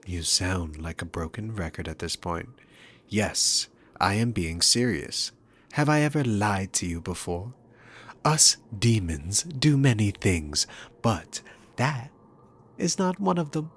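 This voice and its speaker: A man's voice: lowish voice, lively intonation, takes it slowly, heavily acted. A man playing a dominant, sarcastic demon king villain in a scripted ASMR roleplay, with smug, teasing, theatrical phrasing. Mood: calm, proud.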